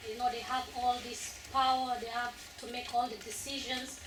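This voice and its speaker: A woman's voice: a little high, little pitch movement, normal speed. A woman from Africa moderating a Q&A after a play, with friendly brief prompts. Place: school hall. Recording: echoey hall from far off, noisy.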